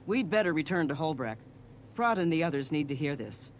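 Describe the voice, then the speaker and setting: An actor, a man, playing a composed, watchful secret agent in a video game, speaking in a measured way. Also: fairly high pitch, lively intonation, slight background noise, close to an everyday voice. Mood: composed, firm.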